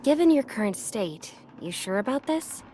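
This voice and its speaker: A woman's voice: a little high, very animated, normal speed, dark tone, slight background noise. An actress playing a concerned young female companion in a video game, questioning and anxious. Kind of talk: scripted video game voice acting.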